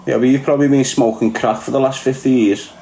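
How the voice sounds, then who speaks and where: A man's voice: medium pitch, fairly even intonation, quick pace, gravelly, warm tone, echoey room, a little hiss or hum, poor audio. A man with a Scouse accent ranting in a casual monologue, mocking and crude, laughing at his own jokes. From Liverpool, England. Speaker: online streamer.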